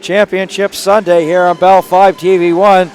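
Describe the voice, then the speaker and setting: A male play-by-play announcer with a raspy, hoarse voice calling a live hockey game from an arena booth, quick and rising to excited calls on scoring chances. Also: high-pitched, slight background noise. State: hoarse from days of calling games.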